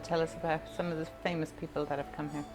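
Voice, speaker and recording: Low-voiced woman asking questions. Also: flat delivery, fast, darkish tone, soft background music. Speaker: interviewer. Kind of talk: on-location interview.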